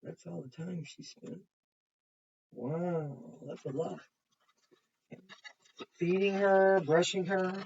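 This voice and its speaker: A woman's voice: fairly low pitch, slow pace, long pauses, a little mellow, slight background noise. Muffled woman teacher reading to young children. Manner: simple explanatory talk aimed at young children. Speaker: kindergarten teacher. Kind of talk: read-aloud of a children's picture book with chatty asides. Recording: muffled, distant microphone.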